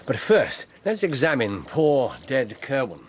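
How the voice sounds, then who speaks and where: An actor playing a keen, courteous Victorian gentleman detective with an English accent, precise, theatrical and wry, in a radio drama. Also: mid-range voice, sing-song, a little slow, a little hiss or hum. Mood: brisk, analytical.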